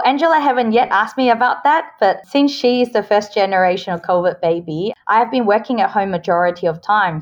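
A woman's voice: medium pitch, highs cut off. A woman in her forties who grew up in Australia after a Hong Kong childhood, talking earnestly and cheerfully as a podcast guest. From Hong Kong, raised in Australia. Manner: earnest, upbeat, explains with homely examples. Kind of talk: casual interview answers.

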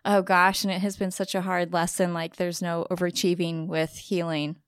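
A woman's voice: on the low side, level tone, moderate pace, clean tone, dry recording, clean studio sound. A woman hosting a podcast in casual conversation, affirming and sharing her own experience. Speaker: podcast host.

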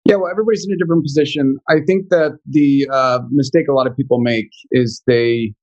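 A man's voice: mid-range voice, steady tone, quick pace, darkish tone, highs cut off. A man guesting on a podcast as a financial coach, talking earnestly and thinking aloud as he goes. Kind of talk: casual interview chat.

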